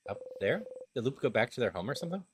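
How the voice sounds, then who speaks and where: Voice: medium pitch, big pitch swings, quick pace, slight background noise, pitched below the performer's own voice, lightly acted. A streamer voicing a weary, guarded video game hero, reluctant and subdued, in short hesitant replies.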